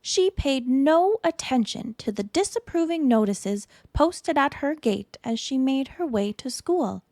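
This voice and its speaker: A woman narrates a children's storybook with expressive care. Her voice sits fairly high and she takes her time over each phrase. The sound is dry and close. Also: a little slow, no room sound. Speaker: storytime reader. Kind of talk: read-aloud children's picture book narration.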